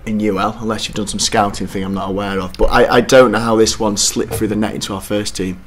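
A young man from the co-hosts a fan podcast, arguing his points eagerly and circling back when he is unsure. His voice sits fairly low, and he talks into a desk mic in a small studio room. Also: fast talker, a little hiss or hum. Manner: animated, opinionated, repeats himself when unsure. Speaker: podcast co-host. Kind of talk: casual football chat. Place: small studio room with brick wall and curtain.

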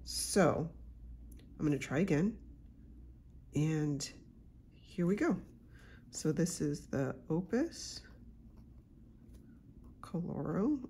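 A woman hosting her own channel, congested from a cold, chatting casually and unhurriedly with soft asides while she unboxes a pen at her desk at home. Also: sits low, expressive, very slow, pauses often, slight background noise. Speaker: YouTube channel host.

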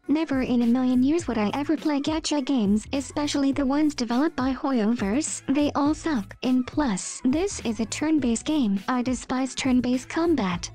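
A woman doing an expressive, theatrical scripted voice-over as she reads memes and comics. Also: sits high. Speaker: meme video narrator. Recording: music behind the voice.

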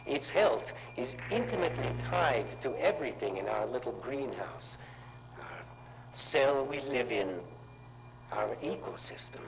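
An actor playing a formal middle-aged community elder in a game, speaking slowly and solemnly with an unplaceable accent. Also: male voice, mid-range voice, gravelly, noisy background. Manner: slow, solemn explanatory delivery. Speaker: game character guide in full-motion video.

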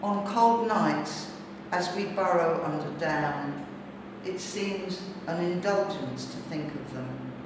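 A middle-aged woman with a British accent, a poet speaking to an audience in deliberate, measured phrases. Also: fairly low pitch. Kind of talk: poetry reading and reflective talk to an audience. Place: large bare brick-walled gallery room, indoors. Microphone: distant camera microphone. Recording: very echoey, noisy gallery hall, distant mic.

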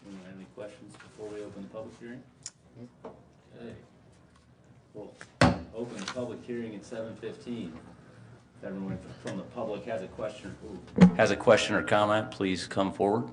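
A low-pitched American man presides over a public meeting. He moves through formal procedure in an unscripted way, recorded in a room with some background noise. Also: steady tone, fairly quick, long pauses. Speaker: town council president chairing a meeting. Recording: noisy meeting room audio.

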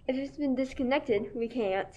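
A young woman playing a calm, polite telephone operator in a school radio play. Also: high-pitched, moderate pace, natural voice. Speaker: student actor. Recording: dull, muffled recording.

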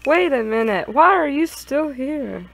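A woman's voice: sits high, lively intonation, a little slow, creaky, fairly bright tone, slight background noise. A woman playing a visual novel with friends, reading dialogue aloud and chatting casually. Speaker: player in a group gaming stream.

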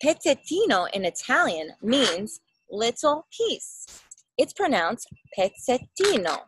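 An expressive woman reads a picture book to a young child. Her voice is fairly high and very lively in pitch, with an unhurried pace. She explains and asks simple questions as she goes. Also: slightly rough, dry recording, rough recording. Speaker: parent reading a picture book aloud. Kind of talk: children's storybook read-aloud. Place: outdoors in a backyard. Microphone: phone or laptop at arm's length.

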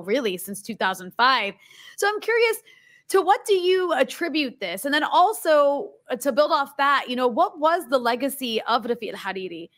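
A young woman interviewer speaking into a studio microphone on a stand. Her voice sits a little high. She asks her questions in a friendly, measured way with small hesitations. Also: a bit bright. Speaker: interviewer host. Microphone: studio mic on a stand.

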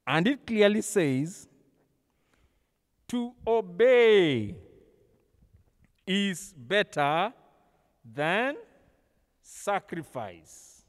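High-pitched Kenyan man telling Bible stories. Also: big pitch swings, very slow, long pauses. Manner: theatrical, acting out dialogue with stammered repetitions; code-switches casually between languages. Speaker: church school teacher. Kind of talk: animated Bible storytelling for children. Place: large church hall, on stage. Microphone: handheld microphone, held close over face mask. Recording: long hall reverb.

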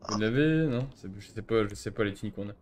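Fast-talking blunt man. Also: on the low side, a little hiss or hum. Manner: blunt, mocking, slangy. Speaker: streamer playing online roleplay game. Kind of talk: casual in-game roleplay banter.

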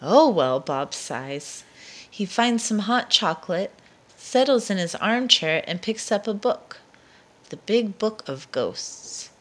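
Animated woman reading a children's storybook. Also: medium pitch. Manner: deliberate, dramatic emphasis for young listeners. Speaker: storyteller reading a picture book aloud. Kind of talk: expressive children's read-aloud narration.

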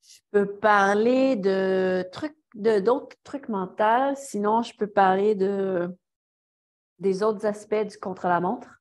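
A woman's voice: medium pitch, fairly even intonation, takes it slowly. A young woman with a Québécois French accent, giving a casual talk and answering questions on a video call, chatty, full of fillers and restarts. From Quebec. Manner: chatty, many fillers and restarts, laughs easily. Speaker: guest speaker, cyclist. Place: small office in front of a banner. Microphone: laptop webcam video call.

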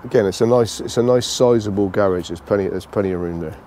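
A British man giving a casual, unscripted walkthrough tour, speaking haltingly and repeating phrases as he goes. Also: fairly low pitch, mellow, slight background noise. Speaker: vlogger giving a motorhome tour.

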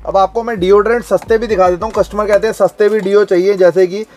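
A man in his thirties, a wholesale shop owner, giving a sales pitch to the camera inside a busy cosmetics shop, listing brands and prices over and over. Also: fairly even intonation, fast, darkish tone, soft background music.

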